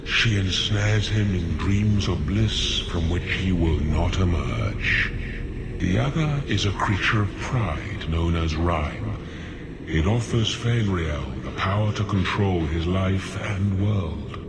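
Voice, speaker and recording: A languid demon of sloth with a low, slow drawl, smug and sly. The game recording has loud noise behind the voice. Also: male voice, animated, full character voice. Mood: smooth persuasion. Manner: slow, drawling, smugly unhurried. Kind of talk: video game cutscene acting. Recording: very noisy game capture with background ambience.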